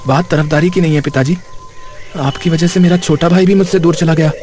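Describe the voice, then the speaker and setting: A man playing an earnest, upset young son in an animated story. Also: fairly high pitch, brisk, slight background noise, lightly acted. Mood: earnest, reproachful. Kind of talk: Hindi animated moral story voice acting. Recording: faint music behind the voice.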